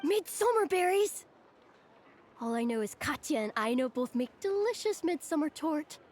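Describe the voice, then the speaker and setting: High, eager little kid. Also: female voice, very animated, moderate pace, darkish tone, a little hiss or hum. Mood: curious, thoughtful. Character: curious, excitable little child in a class. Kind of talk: video game voice acting.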